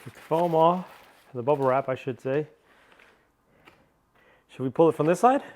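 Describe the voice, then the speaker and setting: A man chatting through a casual car-parts unboxing, excitable and rambling, still a little sniffly from a cold. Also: on the high side, normal speed. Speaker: car vlogger.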